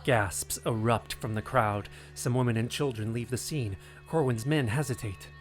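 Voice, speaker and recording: A man delivering scripted dramatic narration for an audio drama in a grave, deliberate way with suspenseful phrasing. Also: medium pitch. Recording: narration over prominent music.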